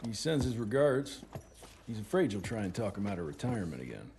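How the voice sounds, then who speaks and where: A man's voice: on the low side, sing-song, a little fast, noisy background. An actor playing a stubborn young male field agent, blunt and defiant.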